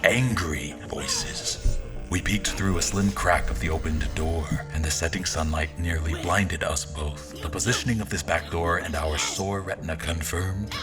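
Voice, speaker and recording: A man playing a formal royal officer who narrates an audio drama in the first person, in a measured manner. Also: low-pitched. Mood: grave, watchful.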